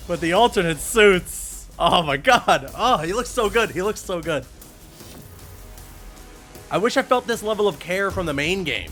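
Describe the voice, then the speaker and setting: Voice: mid-range voice, lively intonation, measured pace, a bit bright, faint music behind. A reaction video host giving casual, spontaneous commentary in short, enthusiastic exclamations.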